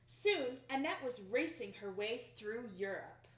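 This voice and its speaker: A young adult woman reading a picture book aloud to children in an expressive, emphatic storytelling manner. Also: on the high side, a little slow. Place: small plain indoor room. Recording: roomy indoor echo.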